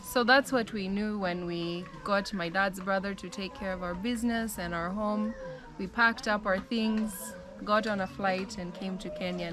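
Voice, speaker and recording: A young American woman telling her life story in a relaxed, chatty way, with frequent "um" and "like". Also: mid-range voice. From United States. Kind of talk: casual storytelling.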